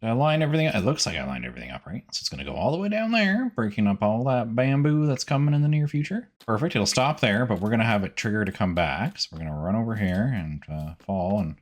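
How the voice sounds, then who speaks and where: A man hosting a gaming video, giving casual, chatty gameplay commentary and thinking out loud as he goes. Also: fairly low pitch, sing-song, fast, few pauses.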